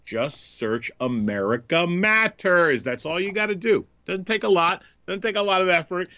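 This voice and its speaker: A man's voice: high voice, animated, moderate pace, pushed and bright, no echo, muffled recording. A man hosting a comedy podcast, talking casually with a stuffed-up nose from a cold, jokey and full of fillers. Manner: chatty, jokey, many filler ums. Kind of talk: casual radio chat.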